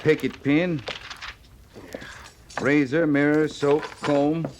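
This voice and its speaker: A blunt, resentful cavalry sergeant in a vintage radio western. The voice is fairly high for a man and gruffly folksy, with obvious noise on the old recording. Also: little pitch movement, slow. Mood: brisk. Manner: blunt, rustic folksy grammar.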